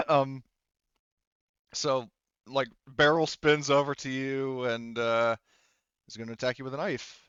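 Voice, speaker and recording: A man with a smooth, clean voice runs a tabletop roleplaying game at an unhurried pace. He works through rules and narration haltingly, thinking aloud in a casual, mildly profane way. The recording is dry and a little dull. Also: mid-range voice, flat delivery, a bit bright, no echo. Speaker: game master.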